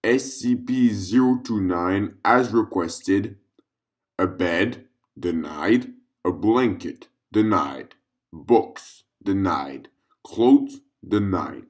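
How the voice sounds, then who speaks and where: Low-voiced man narrating a clinical report. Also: extremely slow. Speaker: narrator of a fiction audio log.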